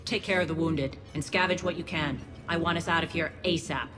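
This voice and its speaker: A woman's voice: sits low, fairly even intonation, fairly quick, natural voice. A woman voicing a principled, guarded alien gang leader in a video game, speaking with firm command. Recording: loud music under the voice.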